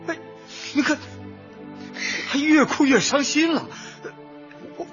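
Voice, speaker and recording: An actor playing a pedantic, sarcastic older husband who preaches in drawn-out sayings in a radio comedy. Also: male voice, very high voice, animated, a bit bright, heavily acted. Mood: placating. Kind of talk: radio drama acting, light comedy. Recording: dull audio with music bed.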